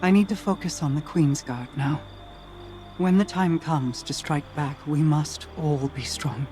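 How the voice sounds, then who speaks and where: A woman voicing a composed, regal queen in a game cutscene, grave and consoling, speaking softly in measured, formal phrases. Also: sits low, faint music behind. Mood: solemn, consoling. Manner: measured, formal, soft-spoken. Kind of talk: dramatic video game cutscene acting.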